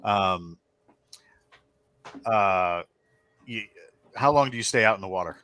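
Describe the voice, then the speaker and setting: A man narrating a tabletop role-playing game as game master, improvising scenes in a halting, descriptive way. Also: lowish voice, pushed and bright, slight background noise.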